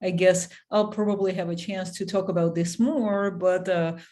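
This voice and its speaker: A woman's voice: lowish voice, normal speed. A woman whose first languages are Armenian and Russian, chatting casually with an online audience as an organizer. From outside the United States. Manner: relaxed and chatty. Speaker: event organizer.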